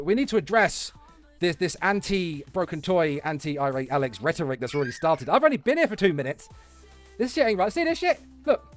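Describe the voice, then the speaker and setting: A livestream host chatting casually and reacting, with foul-mouthed, mock-indignant joking, over music. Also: mid-range voice.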